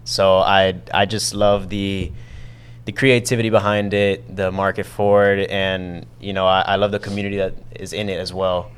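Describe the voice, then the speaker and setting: A young man answering interview questions in a relaxed way, with "you know" fillers. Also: sits low, moderate pace, clean tone. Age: young adult. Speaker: entrepreneur guest.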